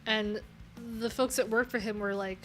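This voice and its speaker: A woman's voice: fairly high pitch, steady tone, normal speed, fairly bright tone. A woman hosting a casual coding livestream, talking candidly in a rambling way with lots of filler words. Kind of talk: casual live-stream chat.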